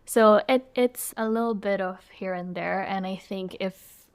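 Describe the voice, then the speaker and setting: A young woman from Indonesia, speaking English as her second language, with a voice of middling pitch. She chats as a podcast guest. She thinks aloud, restarting phrases and adding fillers as she reflects. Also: steady tone, measured pace, clean tone. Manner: thoughtful, frequent fillers and restarts. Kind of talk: reflective conversational interview.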